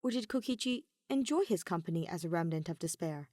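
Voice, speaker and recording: A woman's voice: on the low side, lively intonation, fairly quick, dark tone, no echo. Woman reading a dramatic story, dry recording. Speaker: podfic reader. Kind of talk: dramatic audiobook narration of fan fiction.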